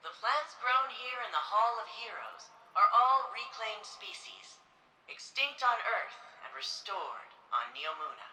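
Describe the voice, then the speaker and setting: An actress playing an eloquent city archivist in a sci-fi game, narrating a museum tour in a stately, polished, reverent manner. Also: female voice, on the high side, bright, forceful tone, heavily acted. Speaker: recorded museum guide. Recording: roomy echo with music behind.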